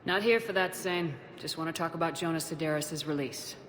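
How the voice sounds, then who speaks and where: An actress playing a tough military commander in a video game, terse, firm and direct. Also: female voice, low-pitched, fairly quick, a bit bright, slight background noise.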